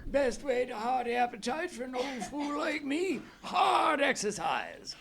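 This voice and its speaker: Jolly old english uncle, super high n creaky, chortling away, live radio play. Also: male voice, big pitch swings, a little slow, fairly bright tone, exaggerated voice. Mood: jovial, chortling. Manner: chortling, hearty, affected old-duffer talk. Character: jovial breathless old English uncle at tennis. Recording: live stage with organ music behind.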